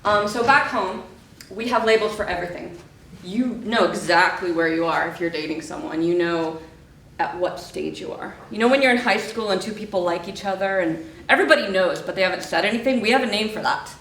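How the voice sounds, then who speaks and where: A woman's voice: medium pitch, a little fast, a bit bright, a little hiss or hum. A young woman from Mexico with an American-sounding accent, giving a chatty, self-deprecating conference talk. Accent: American-sounding English. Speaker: conference speaker, PhD researcher. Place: conference hall. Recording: echoey hall, distant mic.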